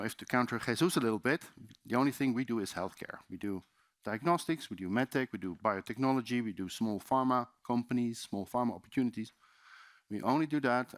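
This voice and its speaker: A man's voice: mid-range voice, a bit bright, no echo. A man with a Dutch accent giving a loose, chatty seminar presentation in a conference room, with many fillers and restarts. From Netherlands. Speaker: conference speaker.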